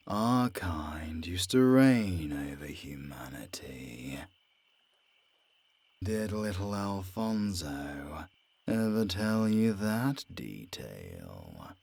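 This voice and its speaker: A man playing a menacing, gloating vampire villain in an audio drama, with a rasping voice and a slow, theatrical, taunting delivery. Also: rumbling low, heavily acted. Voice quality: gravelly, rasping, breaking into whispers. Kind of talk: cinematic audio roleplay acting.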